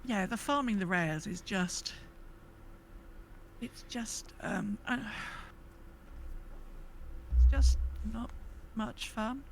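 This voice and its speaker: A woman's voice: mid-range voice, extremely slow, long pauses, a little hiss or hum, poor audio. A woman co-hosting a podcast in casual gaming chat, thinking aloud in a hesitant, rambling way. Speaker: podcast co-host.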